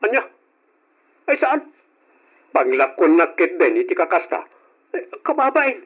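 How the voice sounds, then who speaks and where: A man's voice: high-pitched, sing-song, unhurried, husky, heavily acted. A man playing a curt, defensive Ilocano husband. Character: struggling jobless husband and brother. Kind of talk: old radio drama acting. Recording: dull lo-fi old radio recording.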